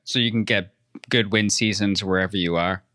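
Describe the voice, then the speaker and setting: A man's voice: fairly low pitch, steady tone, normal speed, pushed and bright, no echo, clean studio sound. A man hosting a podcast, chatting casually and encouragingly with his guest in an upbeat interview. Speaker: podcast host.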